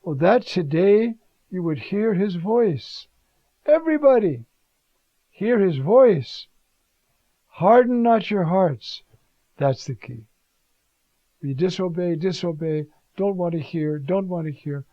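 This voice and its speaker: A man's voice: sits high, animated, slow, long pauses, no room sound, muffled recording. A man who is a priest, preaching on the Sunday readings in a conversational way, often adding "you see" and "uh" between thoughts.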